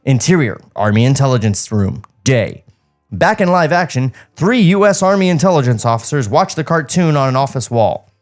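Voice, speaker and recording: A man's voice: mid-range voice, sing-song, moderate pace, faint music behind. A man hosting a podcast, reading stage directions as comic narration and dropping in wry asides.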